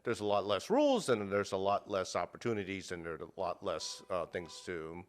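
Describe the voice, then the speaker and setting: A man's voice: deep voice, very animated, moderate pace. A man talking casually as an interview guest, rambling with many fillers and restarts and cursing bluntly. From United States. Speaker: guest, meat purveyor.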